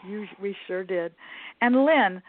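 A woman's voice: mid-range voice, slow, dry recording. A woman hosting a radio talk show, chatty and upbeat, laughing easily with her guests. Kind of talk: friendly interview hosting. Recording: dry phone-like line.

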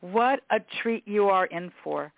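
A woman's voice: medium pitch, animated, a little slow, gravelly, dry recording. A woman hosting a podcast in a conversational, effusive way, repeating words for emphasis. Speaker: podcast host. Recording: narrow phone-like band.

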